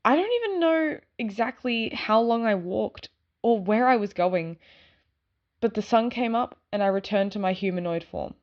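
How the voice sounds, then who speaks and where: A woman's voice: a little high, animated, normal speed, natural voice. Woman playing an eager werewolf girl, slightly muffled recording. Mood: somber. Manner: eager, rambling, informal. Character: excitable dog-like young female werewolf giving her statement. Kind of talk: audio drama acting, first-person storytelling.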